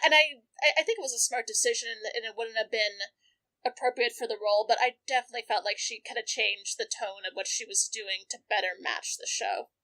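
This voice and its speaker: A woman's voice: a little high, brisk, sharp tone, low-quality recording. A woman co-hosting a podcast in casual chat, talking with many "um"s and thinking aloud. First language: not Japanese. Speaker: podcast co-host.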